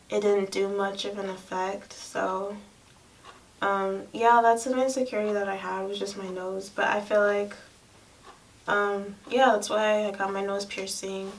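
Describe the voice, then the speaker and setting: A soft-spoken young woman with a girlish voice, chatting casually and candidly to camera about personal things. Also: medium pitch. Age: young adult. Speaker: lifestyle vlogger. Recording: distant mic, faint room noise.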